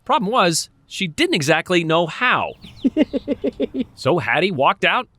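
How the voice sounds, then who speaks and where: A woman TV reporter narrates a light feature story. Her voice sits low, but her pitch moves a great deal as she tells it, giving the read a lively, animated feel. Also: takes it slowly. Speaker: TV news reporter. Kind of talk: scripted news feature narration.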